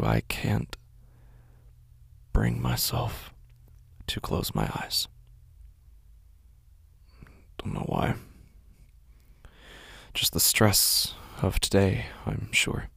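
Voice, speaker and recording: An actor playing a weary, troubled man in an audio drama monologue, brooding and halting, often hushed. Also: sits low, very animated, vocal fry, husky, no room sound, heavily acted. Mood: weary, resigned. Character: weary, troubled man brooding over his warped perception.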